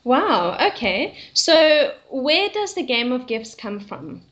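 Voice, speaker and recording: A woman's voice: high voice, big pitch swings, slow pace, fairly bright tone, highs cut off. A woman hosting an interview, welcoming her guest and answering with short, enthusiastic affirmations. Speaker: interview host.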